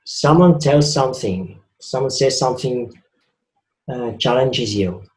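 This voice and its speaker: A man's voice: mid-range voice, unhurried, reverberant, rough recording. A man with a Swiss accent giving a reflective spiritual talk, earnest and repeating phrases for emphasis. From Switzerland. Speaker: spiritual speaker.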